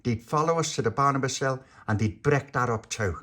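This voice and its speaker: A man's voice: mid-range voice, fairly quick, bright, forceful tone. A man as a bossy little boy ringleader. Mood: persuasive. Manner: commanding, persuasive, urgent.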